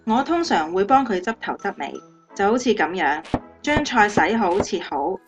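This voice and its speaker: A female actor playing a cheerful, helpful young wife in a Cantonese radio drama, explaining things in an animated way. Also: mid-range voice, normal speed, faint music behind, lightly acted.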